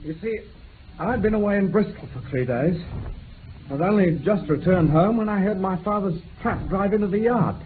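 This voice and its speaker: Actor as high-voiced earnest young man. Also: moderate pace, lightly acted. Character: earnest young accused son giving evidence. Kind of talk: radio drama acting. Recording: narrow faintly noisy vintage radio recording.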